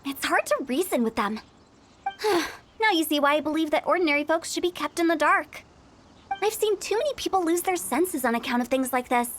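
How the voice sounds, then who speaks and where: A woman's voice: sits high, lively intonation, a little fast, fairly bright tone, a little hiss or hum. An actress playing a playful, shrewd young woman who runs a funeral parlor in a game, confident and teasing. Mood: explaining. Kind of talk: game voice acting.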